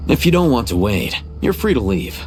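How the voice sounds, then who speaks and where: A man's voice: lowish voice, huge pitch swings, moderate pace, faint music behind. A man voicing a cool, terse, calculating young man in a video game, composed and dry. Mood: dry, aloof.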